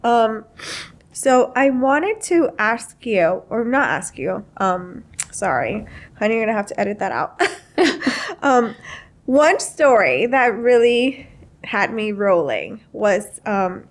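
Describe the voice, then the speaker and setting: A woman's voice: on the high side, very animated, slow. A woman hosting a casual, chatty interview podcast, talking informally and feeling her way through sentences with plenty of "you know". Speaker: podcast host.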